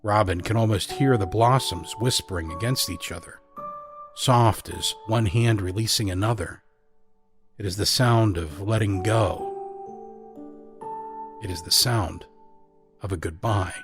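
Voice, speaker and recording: Soft, measured male narrator. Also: lowish voice. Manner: slow, soft, measured reading. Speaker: narrator and host. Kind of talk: scripted narration. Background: music score.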